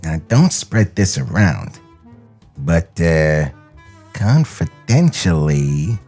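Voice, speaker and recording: A man's voice: rumbling low, sing-song, very slow, over music, pitched below the performer's own voice, full character voice. A man voicing a cocky, wisecracking cartoon rabbit with a nonchalant wise-guy delivery. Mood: confiding.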